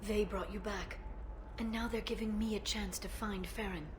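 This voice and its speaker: Female game informant, played by an actress: loyal, driven, sincere, mid-range voice with pauses, audible ambience behind. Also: mid-range voice, steady tone, natural voice. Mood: moved. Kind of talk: video game dialogue acting. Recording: clear background ambience noise.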